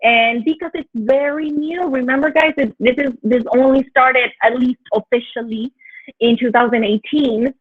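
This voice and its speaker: A woman's voice: high-pitched, steady tone, moderate pace, no echo. A woman from Mexico with a Mexican accent, leading an online teaching workshop for teachers in a chatty, encouraging way with plenty of filler sounds. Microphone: video call. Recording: tinny, dry video-call audio.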